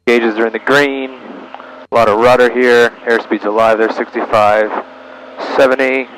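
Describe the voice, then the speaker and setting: A man's voice: medium pitch, fairly even intonation, a little slow. A man piloting a small plane, thinking aloud in short procedural phrases and making radio calls over a headset. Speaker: pilot narrating his own flight. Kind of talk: cockpit vlog narration mixed with radio calls. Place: small airplane cockpit. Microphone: aviation headset. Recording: faint engine and radio noise.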